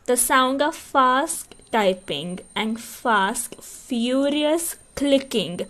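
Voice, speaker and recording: A woman's voice: sits high, expressive, very slow, a bit bright. A woman co-hosting a podcast, chatting casually and reading a story, reacting with murmurs and laughs. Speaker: podcast co-host.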